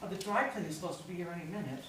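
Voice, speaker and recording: A woman's voice: on the low side, very fast, fairly bright tone, natural voice. An actress in a staged play reading, playing a harried, insistent older wife and mother. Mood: busy, explaining. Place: indoor live staged reading. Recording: echoey room from far off, faint noise.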